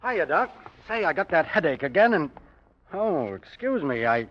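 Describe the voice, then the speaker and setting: An actor playing an informal, headache-prone city editor in a 1940s radio drama. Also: male voice, a little high, very animated, moderate pace. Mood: breezy, awkward. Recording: dull vintage radio recording.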